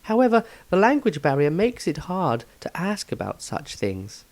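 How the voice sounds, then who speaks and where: A man voicing an uneasy, observant adventurer, soft and thoughtful, reading a fantasy diary entry. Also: sits high, wildly swooping pitch, normal speed, no room sound, voice pushed up, heavily acted. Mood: uneasy, pensive. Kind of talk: fantasy audio story diary.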